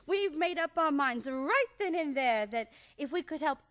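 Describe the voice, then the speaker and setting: A woman's voice: high-pitched, lively intonation, moderate pace, fairly bright tone. A woman playing a sweet, serenely dotty elderly spinster aunt in a live radio play, genteel and matter-of-fact. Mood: sweet, insistent. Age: older. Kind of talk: live old-time radio play acting.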